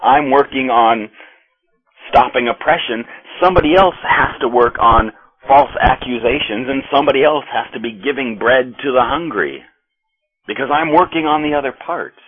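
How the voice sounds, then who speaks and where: An American man reading scripture and then preaching a sermon in a slow, measured way with pauses. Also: mid-range voice, husky. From United States. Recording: tinny phone-like audio.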